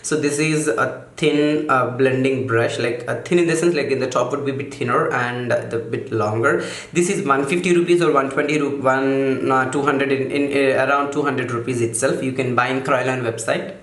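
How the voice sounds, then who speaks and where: A man calmly walking through makeup brushes in a casual vlog, softening his voice on purpose and often stopping to correct himself with fillers. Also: medium pitch, reverberant. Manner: halting, many fillers and self-corrections; deliberately softened, soothing delivery. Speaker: makeup vlogger. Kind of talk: casual product walkthrough.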